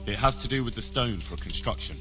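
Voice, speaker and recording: Actor as an earnest young medieval retainer. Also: male voice, lowish voice, lively intonation, a little fast, over music, natural voice. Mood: polite, businesslike. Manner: polite, inquisitive. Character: earnest, loyal young medieval servant of a lord. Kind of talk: cinematic game dialogue.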